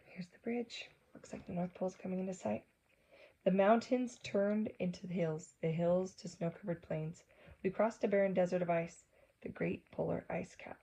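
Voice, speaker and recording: A woman reading a storybook aloud for children, gently engaging them with asides and questions. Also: on the low side, steady tone, normal speed, creaky, breathy edge, rough recording.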